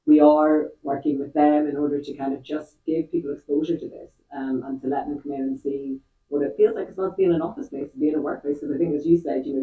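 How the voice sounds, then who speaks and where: A woman's voice: very deep voice, narrow, tinny audio. A middle-aged woman answering interview questions in an earnest, explanatory way. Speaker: interviewee, company employee. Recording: echoey room, distant mic, band-limited sound.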